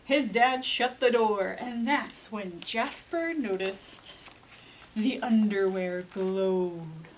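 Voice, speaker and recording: A woman reading a children's picture book dramatically, expressive and suspenseful, stretching out key words for emphasis. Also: medium pitch, slow, slight background noise. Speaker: storytime reader.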